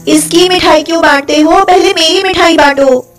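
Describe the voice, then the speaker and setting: Over background music, a high-pitched, girlish young sister speaks as a woman storyteller plays her, sing-song and earnest. She is an innocent, devoted folk-tale village woman, eager and a little anxious. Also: moderate pace. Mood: insistent.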